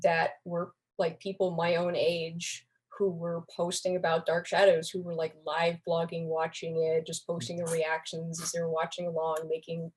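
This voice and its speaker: A woman's voice: fairly low pitch, monotone, moderate pace, few pauses, rough recording. A young woman speaking as a podcast guest, explaining things in a casual, conversational way. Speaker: podcast guest, online community moderator.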